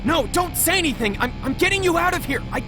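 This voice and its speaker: Man acting very high, nervous young scientist. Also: expressive, music in the background. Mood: desperate. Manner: stammering, halting. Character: nervous, flustered, desperate young male scientist. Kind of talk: visual novel voice acting.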